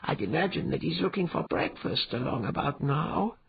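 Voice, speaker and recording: A man's voice: fairly high pitch, sing-song, normal speed, vocal fry, husky, lo-fi sound, pitched above the performer's own voice, heavily acted. A man voicing a knowing, cautioning female cat companion in a fantasy storybook. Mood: urgent caution. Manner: urgent, cautioning.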